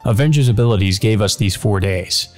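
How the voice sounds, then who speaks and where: A man's voice: fairly low pitch, a little fast, faint music behind. A man reading a visual novel aloud as dramatic scripted narration.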